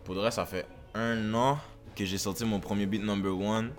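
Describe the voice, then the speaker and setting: A man's voice: on the low side, steady tone, moderate pace, faint music behind. A young man who raps, speaking as a guest in a casual podcast chat, repeating himself and using slang. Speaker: guest, rapper.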